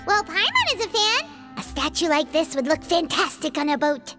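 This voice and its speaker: A woman's voice: very high voice, big pitch swings, normal speed. A woman voicing a tiny, chirpy female companion creature who talks about herself in the third person, in a game cutscene. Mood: playful. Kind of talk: video game cutscene dub. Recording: game cutscene with loud music.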